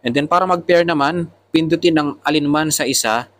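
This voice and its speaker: A man's voice: fairly high pitch, fairly quick, fairly bright tone. Filipino man explaining gear step by step. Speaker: motovlogger reviewing motorcycle intercoms. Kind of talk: casual product tutorial.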